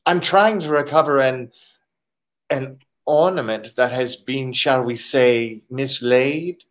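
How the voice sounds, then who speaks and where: Guy doing polite creepy foreign crook, old radio drama, very formal deliberate wording, medium pitch. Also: a bit bright. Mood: polite, insinuating. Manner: formal, overpolite, deliberate phrasing. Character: courteous, sly, menacing foreign gentleman crook. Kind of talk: 1940s radio detective drama acting.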